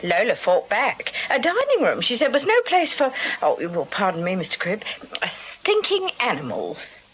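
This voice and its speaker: A woman's voice: medium pitch, wildly swooping pitch, normal speed, a bit bright, muffled recording, slight background noise. An actress playing a gossipy, coy Victorian landlady with a genteel English accent and an affected, arch, cooing manner in a radio drama. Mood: confiding, arch. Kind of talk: period radio drama acting.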